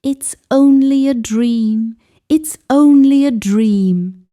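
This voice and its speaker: A woman's voice: high voice, drawn-out words, mellow, voice pushed up. A woman playing a proud, reckless young village beauty in a dramatic folktale. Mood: shaken.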